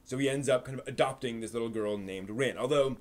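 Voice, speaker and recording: A man's voice: medium pitch, a little fast. A man hosting a live stream, giving a casual, off-the-cuff review and often rephrasing himself as he explains.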